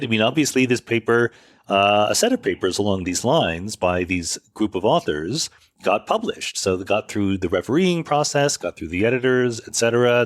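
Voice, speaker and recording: A man's voice: mid-range voice, no room sound, studio quality. A man hosting a podcast, explaining things in an informal, conversational monologue and correcting himself as he goes. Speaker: podcast host. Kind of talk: informal explanatory monologue answering listener questions. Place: makeshift recording setup away from home studio.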